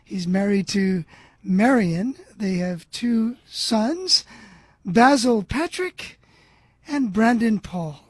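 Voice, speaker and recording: A man's voice: sits high, animated, takes it slowly, slightly rough, no room sound. A man hosting a religious radio program, making evangelistic appeals and addressing the listener as his friend. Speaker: radio host.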